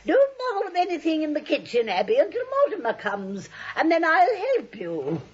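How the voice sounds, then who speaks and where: An actress playing a gentle elderly aunt in a 1940s radio comedy, cooing and genteel, on a vintage recording. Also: female voice, high-pitched, huge pitch swings, normal speed, lo-fi sound. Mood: kindly, fussy. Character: gentle, sweet elderly aunt, coyly conspiratorial.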